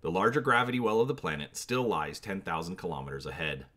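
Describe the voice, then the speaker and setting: A man's voice: low voice, quick pace, bright, forceful tone. A man hosting his channel, giving a dramatic reading of an adventure gamebook and inviting viewers to comment on choices.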